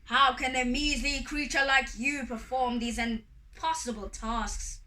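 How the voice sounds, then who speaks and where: A woman's voice: slow pace, bright, forceful tone. Woman as roaring, imperious sky god. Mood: scornful, grudging. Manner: imperious, booming, scornful.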